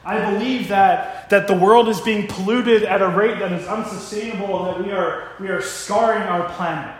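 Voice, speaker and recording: Man in his 30s, pastor preaching, high voice, earnest and restarting, very echoey church room. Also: fairly even intonation, normal speed. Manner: earnest, repeats words while thinking aloud. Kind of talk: sermon. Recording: very echoey room, faint noise.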